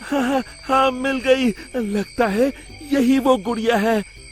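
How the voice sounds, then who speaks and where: A man's voice: very high-pitched, steady tone, slow pace, music in the background, pitched above the performer's own voice. A man voicing an anxious, loyal, simple servant in a cartoon moral story, eager and earnest.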